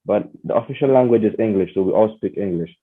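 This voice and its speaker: Deep-voiced man on a tinny line. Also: fast, husky. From Nigeria. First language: Hausa. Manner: relaxed, hesitant, many fillers and restarts. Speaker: podcast guest. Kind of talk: casual chat. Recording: tinny phone-like sound.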